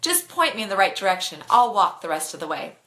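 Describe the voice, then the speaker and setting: An anxious, feisty teenage girl voiced by a woman in a storybook. She is sarcastic and quick to take offence, with a bright, tense edge to her voice. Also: medium pitch, sing-song. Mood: indignant. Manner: indignant, sharp-tongued. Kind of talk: bedtime storybook reading.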